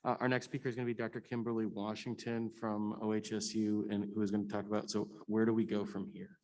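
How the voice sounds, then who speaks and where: A man's voice: on the low side, flat delivery, fast talker, darkish tone, poor audio. A man moderating a conference session, introducing speakers.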